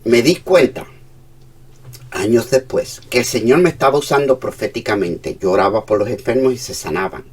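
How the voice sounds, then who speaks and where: A man with a Cuban accent, preaching a sermon as a pastor, moving between hushed and emphatic passages. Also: medium pitch, lively intonation, normal speed, slightly rough, recorded from a distance.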